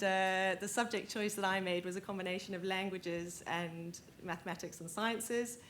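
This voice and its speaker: A woman's voice: mid-range voice, a bit bright, slight background noise. South African woman introducing herself on a panel. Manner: friendly, laughs easily. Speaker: panelist, analytics team lead. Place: conference panel.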